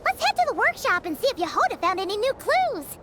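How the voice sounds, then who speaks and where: Squeaky, animated little fairy companion. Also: female voice, fast talker, very noisy. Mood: chirpy, eager. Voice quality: squeaky, childlike. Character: Paimon, tiny childlike floating fairy companion, chirpy and eager. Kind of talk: animated video game acting.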